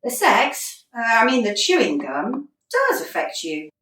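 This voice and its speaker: Woman acting female football manager, earnest, exasperated, dryly sarcastic, lively intonation, radio play, distant from microphone. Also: on the high side, a little slow, full character voice. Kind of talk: radio comedy drama acting. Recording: roomy echo, distant from mic.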